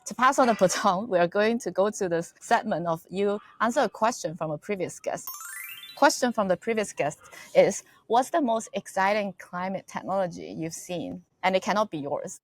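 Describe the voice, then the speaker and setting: A woman's voice: mid-range voice, moderate pace. A woman hosting a podcast interview, eagerly restating ideas to check she understands them. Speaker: podcast host. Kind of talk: casual interview.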